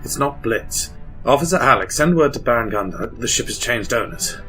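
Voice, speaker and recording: A man's voice: medium pitch, animated, fairly quick, pushed and bright. A man playing an anxious, dutiful Imperial lieutenant, formal and military, in a sci-fi audio drama. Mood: formal command. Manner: formal, military, slightly nervous. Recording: music behind the voice.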